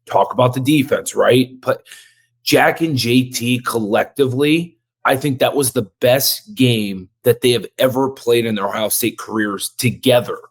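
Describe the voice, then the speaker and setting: Male co-host, hoarse from yelling, emphatic and excited, average pitch. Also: moderate pace. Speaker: podcast co-host. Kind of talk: sports podcast talk.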